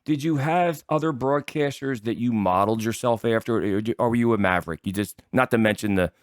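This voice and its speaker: A middle-aged or older man with a medium-pitched voice, recorded close and dry. As an interview host he chats casually, circling his way into questions with stops and restarts. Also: dry recording. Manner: rambling, halting questions. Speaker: show host.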